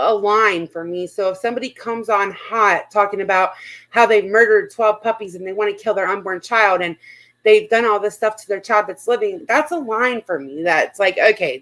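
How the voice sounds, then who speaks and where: A woman talks casually as a livestream panel host, with a middling pitch. Her manner is blunt and informal, and she swears freely as she gives gossipy commentary. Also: a bit bright, low-quality recording.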